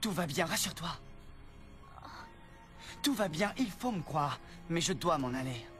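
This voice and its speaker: An actor playing a devoted, earnest young prince in a cartoon film. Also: male voice, a little high, lively intonation, unhurried, a bit bright, faint music behind. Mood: tender, urgent. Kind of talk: French cartoon film dub.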